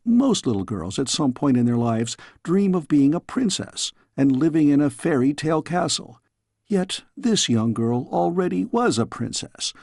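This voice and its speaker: A man reading a storybook as scripted audiobook narration, in a measured, expressive storytelling way. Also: a little high, gravelly, slightly rough, no room sound, studio quality. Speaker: audiobook reader.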